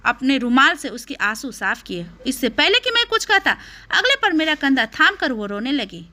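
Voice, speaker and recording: A woman narrating a dramatic first-person audio story in an emotional, expressive way. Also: high-pitched.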